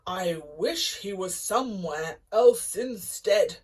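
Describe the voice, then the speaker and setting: A woman voicing a huge, grumpy male giant who roars his lines in a booming chant. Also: lively intonation, slow, slightly rough. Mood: roaring.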